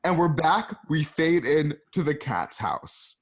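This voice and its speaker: A man's voice: on the high side, animated, slow, breathy edge. A man hosting and narrating an amateur radio play, reading the stage directions casually and adding chatty asides. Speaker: narrator and host.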